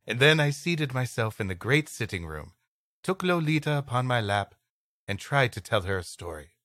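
A man with a bright, forceful, medium-pitched voice and animated delivery. He co-hosts a comedy podcast, switching between storytelling and casual banter. The recording is dry and close. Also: moderate pace, dry recording. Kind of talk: reading an old story aloud, with casual joking banter.

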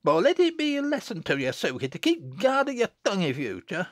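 A high-pitched, peevish little girl from a storybook, acted out by a man, with a Lancashire accent. The delivery swoops about and bites, sharp, taunting and full of threat, on a close, dry recording. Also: wildly swooping pitch, measured pace, slightly rough, no room sound, heavily acted. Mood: gloating. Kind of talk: audiobook character acting.

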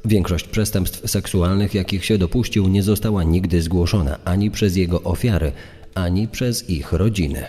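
A man's voice: deep voice, moderate pace, dark tone, husky, faint music behind. A man reading scripted true-crime narration as a narrator. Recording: long reverb.

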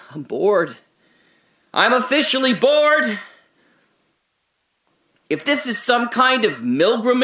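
A young man stuck alone in the dark, bright voice, swings all over, mutters to himself. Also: high-pitched, heavily acted. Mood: pleading. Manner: restless, talking to himself, swings from hushed to loud. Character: lone young man trapped in darkness, rambling. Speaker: radio drama actor. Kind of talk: solo audio drama monologue.

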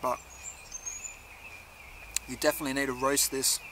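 A man's voice: medium pitch, flat delivery, moderate pace, background noise. A young man giving a casual, laid-back review to camera in a conversational way. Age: young adult. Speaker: chilli reviewer, video host. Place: outdoors in a leafy garden.

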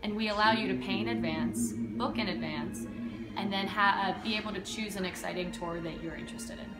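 Young woman, roomy echo, loud music behind. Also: mid-range voice, expressive, fairly quick. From Chile. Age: young adult. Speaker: company founder being interviewed. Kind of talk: explanatory interview answers. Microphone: camera a few feet away.

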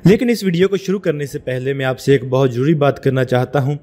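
A man from India with a Punjabi accent, narrating a scripted defence news explainer as a steady voice-over. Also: medium pitch, fast talker.

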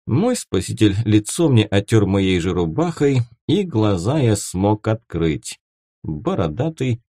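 Guy narrating a novel, warm dark voice, really dry close sound. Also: fairly low pitch, no echo. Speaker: audiobook narrator. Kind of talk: scripted first-person fiction narration.

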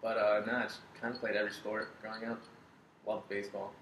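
A man's voice: on the low side, completely flat delivery, fast, fairly bright tone. An American man in his twenties from Florida, chatting casually as an interview guest. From Florida, United States. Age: 20s. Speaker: baseball player and coach, interview guest. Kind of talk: casual chat. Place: living room couch, indoors. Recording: echoey room with faint background noise.

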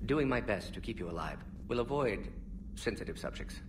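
Man playing old alien scientist, video game, clipped, precise, animated pitch, brisk manner, background noise. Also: recorded from a distance, lightly acted. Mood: apologetic. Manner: clipped telegraphic phrases, analytical, precise.

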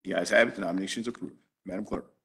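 A man in his forties chairing a city council meeting over a video call, calling votes in brisk, formulaic phrases. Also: deep voice, steady tone, creaky, a bit bright, breathy edge. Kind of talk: formal meeting procedure. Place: office with flags behind, on a video call.

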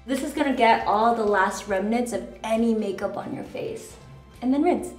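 A woman's voice: a little high, moderate pace, a little mellow. A young woman in her twenties, chatting casually through a skincare routine in a bathroom, bubbly and chatty. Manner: bubbly, chatty, lots of "like" and "just". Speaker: vlogger. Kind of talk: casual skincare tutorial talk. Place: hotel bathroom at the mirror. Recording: echoey bathroom, faint music.

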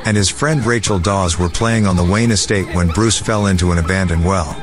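A man's voice: sits low, steady tone, fairly quick. A man narrating a scripted dramatic adventure story as the narrator of an audio drama.

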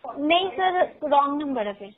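A woman's voice: high-pitched, expressive, measured pace. A young woman on a thin phone line, working as a call centre agent and answering politely but firmly. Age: young adult. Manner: polite, firm. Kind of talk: customer service phone call.

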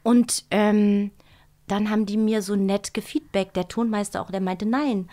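A middle-aged woman, a voice actress, talking in a studio interview in a lively, expressive way and acting out little scenes. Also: mid-range voice, measured pace. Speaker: voice actress guest. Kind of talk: interview conversation. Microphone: studio microphone.

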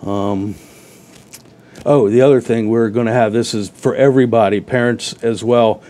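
A man's voice: mid-range voice, steady tone, moderate pace, dark tone. A middle-aged man, a guest organizer, explaining event details plainly in a casual studio interview on a lapel mic. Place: TV studio set.

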